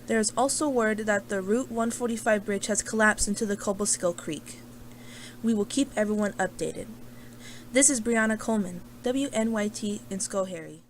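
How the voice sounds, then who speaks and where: A woman's voice: mid-range voice, normal speed, slight background noise, lightly acted. A woman playing a serious local TV reporter, giving an earnest, matter-of-fact scripted report from a disaster scene. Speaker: field news reporter. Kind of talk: scripted live news report.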